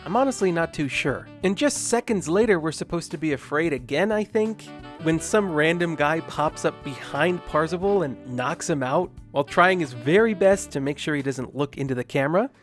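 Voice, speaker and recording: A man's voice: fairly high pitch, expressive, moderate pace. A man delivering scripted film review commentary with emphatic, sarcastic asides. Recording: music behind the voice. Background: background music.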